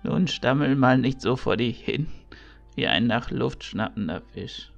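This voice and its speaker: A man playing a kindly, teasing old mentor priest in a fantasy audio drama, gravelly and fatherly. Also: mid-range voice, measured pace, highs cut off, soft background music. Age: older.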